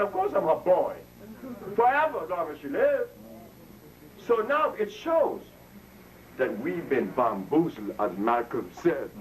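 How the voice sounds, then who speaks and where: A seventy-year-old man lectures an audience in a preacher's cadence, pulling responses from the room. His pitch leaps up and drops down with lively swings. The mic sits well back from him, with faint background noise. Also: distant mic, low-quality recording. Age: 70s. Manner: preacher-like, call and response with listeners. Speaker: speaker addressing an audience. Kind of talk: impassioned lecture.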